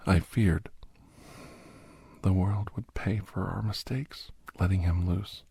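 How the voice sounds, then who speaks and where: A man acts as a grave, war-worn doctor narrating horror in an ASMR audio drama. His voice is deep and very husky, often sinking toward a whisper. He speaks slowly and haltingly, close and dry, like someone haunted by what he has seen. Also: fairly even intonation, no room sound, heavily acted. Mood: somber, ominous. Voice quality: breathy, husky. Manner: slow, halting, hushed, often slipping into whisper.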